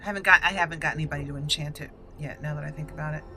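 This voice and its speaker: A woman's voice: sits low, fairly even intonation, very fast, bright, forceful tone, faint music behind. A woman hosting a casual game walkthrough, thinking aloud in short fragments as she plays. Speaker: gaming channel host.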